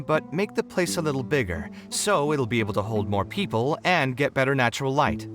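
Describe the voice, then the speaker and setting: An actor voicing a young male architect in a game, earnest and meticulous, with brisk businesslike planning talk. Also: mid-range voice, lightly acted. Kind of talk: game voice acting. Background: game music.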